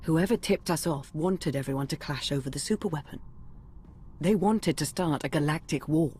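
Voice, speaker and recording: Measured, serious intelligence adviser played by a woman. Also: deep voice, darkish tone, a little hiss or hum, natural voice. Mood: concerned, focused. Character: earnest, analytical female intelligence adviser. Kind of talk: dramatic video game acting.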